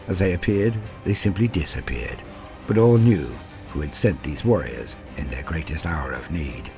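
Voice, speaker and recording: A man reading a scripted fantasy war story in a grave, theatrical, deliberate way. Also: deep voice, gravelly, a little mellow.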